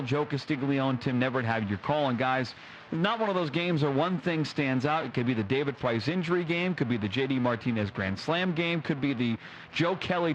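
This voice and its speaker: A man's voice: medium pitch, dull audio. Male sports radio postgame host, faint noise. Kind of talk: live sports radio hosting. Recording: faintly noisy radio broadcast.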